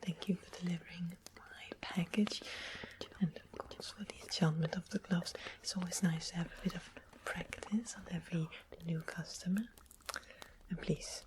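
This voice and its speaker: A woman voicing a sweet, mischievous witch in an ASMR fantasy roleplay, in a breathy whisper with an unhurried, polite, coaxing manner. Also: fairly low pitch, natural voice, rough recording. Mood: sweetly sly. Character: sweet-smiled, mischievous witch of the west, softly sly.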